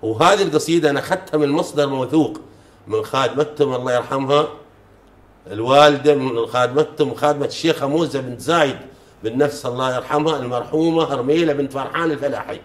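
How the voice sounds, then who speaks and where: Brief: fast man reciting poetry. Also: medium pitch. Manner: measured, chanting recitation of verses. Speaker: folk poet, guest. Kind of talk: reciting folk poetry in conversation. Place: living room sofa, indoors. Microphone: lapel mic. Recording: roomy echo.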